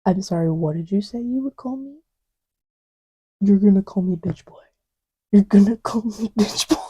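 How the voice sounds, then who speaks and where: A woman playing a playful, distracted young girlfriend in an intimate ASMR roleplay, murmuring in a halting, teasing way. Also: medium pitch, animated, mellow, slightly rough, no echo. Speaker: audio roleplay voice actor.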